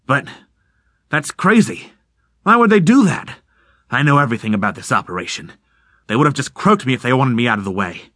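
A man's voice: medium pitch, sing-song, fairly quick, pauses often, a bit bright, dry recording, clean studio sound. A man doing a blustering tough crook. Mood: agitated. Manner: tough street slang, blustering. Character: gruff middle-aged male crook, blustering, snarling.

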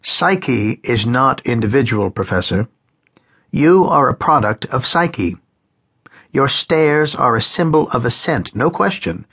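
A man's voice: mid-range voice, lively intonation, slow pace, a bit bright, husky, heavily acted. A man voicing an elderly male psychiatrist, who speaks in a cold, emphatic lecturing way, raising his voice above his own. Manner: pronouncing, emphatic.

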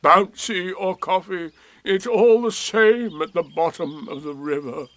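A man voicing a gloomy, sardonic old male donkey with a slow, drawn-out, mournful delivery in a storybook reading. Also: high voice, a bit bright, no echo, pitched above the performer's own voice.

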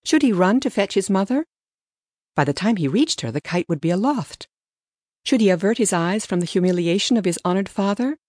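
A woman's voice: medium pitch, expressive, brisk, darkish tone, no room sound, clean studio sound. A woman reading scripted story narration as an audiobook narrator.

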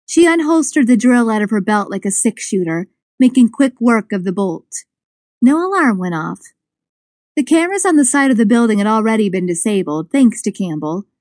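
A woman reading scripted suspense fiction as an audiobook narrator, with expressive storytelling. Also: fairly high pitch, normal speed, no room sound, studio quality. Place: dry studio.

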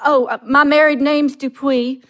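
Timid, high-voiced Southern teenage newcomer. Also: female voice, steady tone, normal speed, a bit bright. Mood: nervous, polite. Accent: American Southern. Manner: timid, hesitant, polite. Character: shy, nervous eighteen-year-old female salon newcomer. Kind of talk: audio theatre drama acting.

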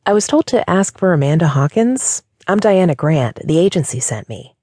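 A woman's voice: lowish voice, very animated, moderate pace, darkish tone, husky, no echo, clean studio sound, pitched above the performer's own voice. A woman playing a reserved, polite woman starting as a temporary receptionist.

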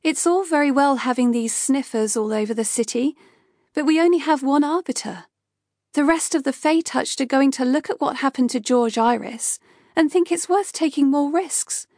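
An exasperated, outspoken young woman, read by a female narrator, firing off complaints in a high, animated voice. Her tone is forceful and scolding, with a gravelly edge in a dry close recording. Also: moderate pace, dry recording, exaggerated voice. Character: young woman, sharp, frustrated and outspoken colleague.

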